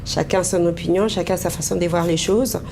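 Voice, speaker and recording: A woman's voice: on the low side, steady tone, a little fast, gravelly, warm tone. A Congolese woman, an interview guest, answering in a hesitant, casual way with many fillers. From Congo (DRC). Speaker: interview guest, business owner in humanitarian work. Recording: noisy TV interview recording.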